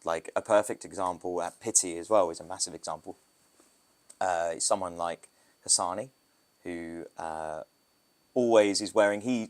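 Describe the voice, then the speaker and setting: British man, very low voice, casual rambling podcast guest, photographer. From Britain. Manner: loose, rambling, with restarts and asides. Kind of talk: casual interview talk.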